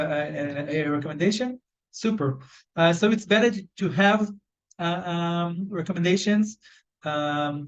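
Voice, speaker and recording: A man's voice: a little high, highs cut off. A man with an Israeli accent leading a live online job search training. He talks loosely and conversationally, with many fillers and restarts. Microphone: video call.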